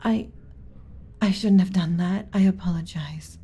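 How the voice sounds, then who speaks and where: Woman as a slow, soft-spoken submissive alien servant. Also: fairly low pitch. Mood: flustered, tender. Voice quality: soft, breathy with whispered stretches. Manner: hesitant, deferential, careful pauses. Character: submissive alien beta servant woman, gentle, apologetic, secretly curious. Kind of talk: ASMR roleplay, soft intimate delivery.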